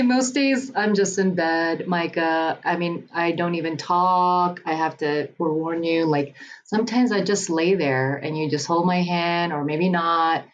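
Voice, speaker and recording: A woman's voice: low voice, muffled recording, a little hiss or hum. A woman in her forties talking candidly in a casual interview, laughing often. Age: 40s. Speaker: guest. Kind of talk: casual conversation.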